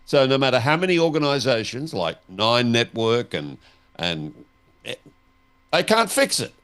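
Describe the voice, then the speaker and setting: A man's voice: mid-range voice, sing-song, unhurried, fairly bright tone, smooth. An Australian man hosting a casual question-and-answer chat, with a wry, teasing way of talking. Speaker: host putting listener questions. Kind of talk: casual radio-style chat.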